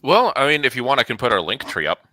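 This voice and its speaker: A man's voice: mid-range voice, very animated, quick pace, bright, forceful tone, no echo, clean studio sound. A man chatting casually as an interview guest, with a playful, wry manner. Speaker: guest, project team member.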